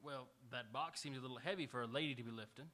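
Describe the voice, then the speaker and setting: Male actor as gallant cowboy, folksy rural talk, brisk pace, bright tone, live radio drama. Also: mid-range voice, lightly acted. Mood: polite, defiant. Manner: folksy rural speech, polite but stubborn. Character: earnest, gallant, unarmed cowboy standing up for his sweetheart. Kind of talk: live radio Western acting. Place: auditorium stage, live radio theatre performance.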